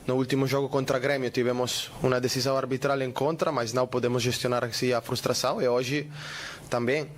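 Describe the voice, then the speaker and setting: A man, a football coach at a press conference, answering haltingly in a language that is not his first. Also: medium pitch, flat delivery. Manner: halting, searching for words.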